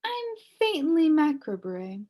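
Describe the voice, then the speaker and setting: A woman voicing a pleasant old lady witch in a storybook, small-voiced, gentle and casual. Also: high-pitched, huge pitch swings, slow, pitched above the performer's own voice, full character voice. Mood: kindly, serene.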